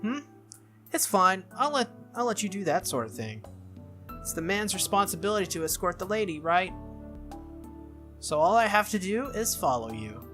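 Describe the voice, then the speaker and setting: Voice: mid-range voice, big pitch swings, moderate pace, long pauses, fairly bright tone, faint music behind, pitched above the performer's own voice. A performer voicing a cheerful, childish young noble girl from a visual novel, eager, innocent and a little petulant. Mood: playful.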